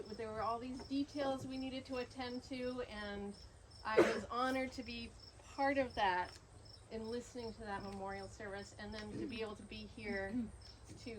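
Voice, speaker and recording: A woman who cared for the deceased, giving unscripted memorial remarks in a hesitant, halting way with restarts. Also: on the high side, noisy background. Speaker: caregiver speaking at a memorial. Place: outdoors at a home on an island.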